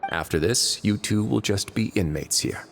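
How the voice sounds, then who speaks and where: Low-voiced, composed, imposing prison duke. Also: male voice, creaky, a little hiss or hum. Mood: coolly warning. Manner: measured, dryly polite, self-assured. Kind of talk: English video game voice acting.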